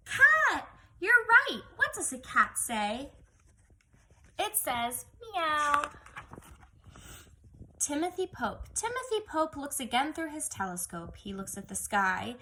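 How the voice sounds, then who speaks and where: Bright, high-voiced woman reading to young children. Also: very animated, slow, a little hiss or hum. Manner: exaggerated, singsong storytelling with questions to children. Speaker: young children's teacher reading a picture book aloud. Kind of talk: read-aloud lesson for young children.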